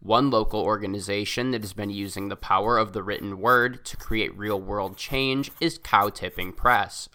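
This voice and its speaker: A man reading a scripted radio feature narration in a measured, formal way. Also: on the low side, bright, forceful tone. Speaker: radio reporter.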